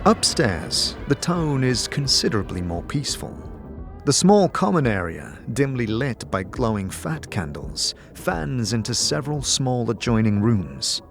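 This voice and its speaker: A man's voice: medium pitch, big pitch swings, unhurried, a bit bright. A man reading scripted second-person narration for a fantasy audio drama. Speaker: game-style narrator. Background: music.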